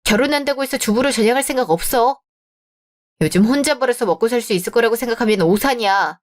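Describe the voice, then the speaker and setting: Firm young woman, higher voice. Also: animated, fast. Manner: firm, hurt, insistent. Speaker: narrator and heroine. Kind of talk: radio drama reading.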